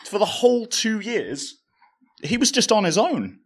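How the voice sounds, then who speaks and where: A man's voice: high-pitched, sing-song, measured pace, exaggerated voice. An actor playing an awkward, hesitant male archive assistant who stammers sheepishly in a live audio drama. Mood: intrigued, chatty.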